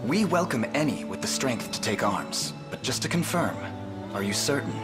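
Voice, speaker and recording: Resolute young game prince, male actor, medium register, measured noble sincerity, heavy musical bed. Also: medium pitch, big pitch swings, over loud music, natural voice. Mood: solemn, gracious. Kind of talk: video game fantasy acting.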